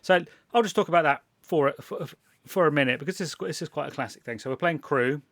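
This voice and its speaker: A man's voice: a little high, fast, fairly bright tone, dry recording, clean studio sound. A man from England hosting a casual chat, rambling with frequent restarts and laughter. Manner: rambling, self-interrupting, laughs often. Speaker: podcast host.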